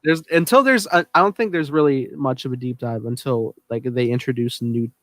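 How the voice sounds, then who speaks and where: A man's voice: mid-range voice, brisk, no echo. A man hosting a podcast in loose, casual, conversational chat. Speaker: podcast host.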